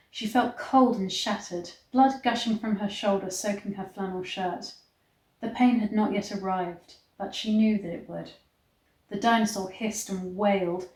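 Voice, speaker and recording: A woman reading a romance story aloud in a dramatic, expressive way, stretching words for emphasis. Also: medium pitch. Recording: echoey, roomy sound.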